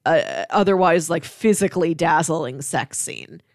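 A woman's voice: low-pitched, unhurried, dry recording, studio quality. A woman co-hosting a film podcast, talking casually and analytically, peppering her thoughts with "like". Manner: chatty, analytical, frequent "like". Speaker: podcast co-host reviewing films.

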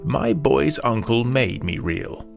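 A man voicing a very old, wise and kindly toy horse in a children's story, explaining slowly, gently and patiently. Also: medium pitch, expressive, gravelly, exaggerated voice. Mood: fond, reflective. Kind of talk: children's storybook character reading. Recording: guitar music behind the voice.

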